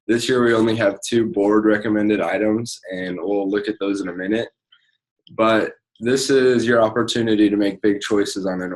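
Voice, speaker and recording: A young man from Nebraska with a fairly low voice walks listeners through convention procedures. He talks casually as a state student officer, stumbling through explanations with plenty of fillers. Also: rough recording. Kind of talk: explaining procedures on a conference call.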